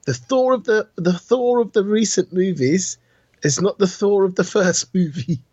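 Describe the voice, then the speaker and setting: A man with a fairly high, husky voice talks casually as a podcast co-host. He tells things as anecdotes, and the sound is dry and a little dull. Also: moderate pace, a little mellow, no room sound. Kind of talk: casual film chat.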